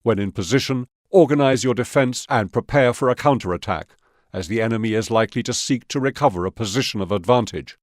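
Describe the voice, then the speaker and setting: An actor playing a firm, businesslike Soviet officer giving orders in a video game mission briefing. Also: male voice, mid-range voice, fairly quick, dry recording, studio quality, lightly acted. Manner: authoritative, clipped, instructional.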